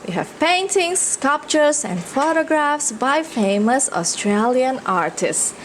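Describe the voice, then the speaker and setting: Female children's read-aloud storyteller, higher voice, unhurried expressive delivery, slight background noise in the recording. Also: rough recording. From Indonesia. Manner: expressive, pausing to ask listeners questions.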